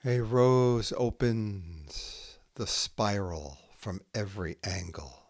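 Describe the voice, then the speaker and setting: A man with a low voice reads poetry aloud at a very unhurried pace. He delivers it in short, measured phrases with space between them. The sound is dry, with very little room in it. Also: no echo. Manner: measured, with short phrases set apart by pauses. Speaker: podcast host reading poetry aloud. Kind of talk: poetry reading, haiku recited line by line.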